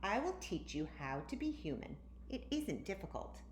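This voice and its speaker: A woman voicing a confident, bossy little girl in a storybook, with an excitable, emphatic delivery. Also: medium pitch, normal speed, lightly acted. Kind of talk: storybook read-aloud. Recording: roomy, echoey, faint background noise.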